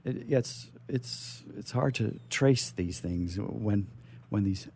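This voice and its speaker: A man hosting a casual radio interview, speaking haltingly and repeating his phrases as he goes. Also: on the low side, a little mellow, a little hiss or hum.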